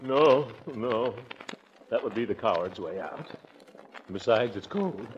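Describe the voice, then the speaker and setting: A man's voice: fairly low pitch, expressive, slow pace, loud music behind the voice. A man playing a pompous, flirtatious middle-aged bachelor uncle in a blustery, theatrical 1940s radio comedy style. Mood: gloomy, resigned. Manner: blustery, theatrical, with sudden swoops. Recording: noisy, muffled 1940s radio recording.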